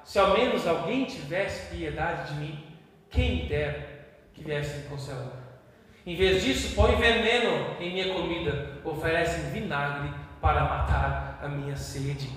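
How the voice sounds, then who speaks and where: A Brazilian man preaching a sermon with passion, moving between hushed and loud. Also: a little high, a little slow. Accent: Brazilian Portuguese. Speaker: pastor. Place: church hall. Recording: echoey church hall with long reverb.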